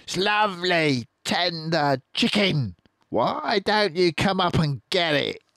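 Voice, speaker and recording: A man reading a storybook aloud and voicing a greedy male farmer who taunts loudly. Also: high voice, sing-song, slow pace, creaky, no room sound, voice pushed up, heavily acted. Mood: taunting. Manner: taunting, loud.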